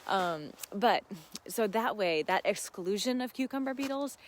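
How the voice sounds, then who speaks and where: A woman's voice: medium pitch, lively intonation, unhurried, slight background noise. An American woman from Ohio hosting a casual live gardening Q&A, answering viewers in an effusive, exclamatory, giggly manner. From Ohio, United States. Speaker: host of a live gardening Q&A, seed company owner.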